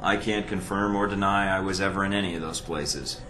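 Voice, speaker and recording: A man's voice: low voice, fairly even intonation, a little fast, bright, forceful tone, echoey room, slight background noise. A man playing a cocky, sardonic secret agent recruit in a video game, with a dry, wisecracking delivery. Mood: dry sarcastic.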